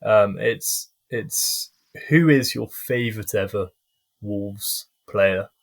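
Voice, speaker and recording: A young man hosting a casual podcast interview, friendly and hesitant with frequent pauses and restarts. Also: on the low side, gravelly. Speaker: podcast host.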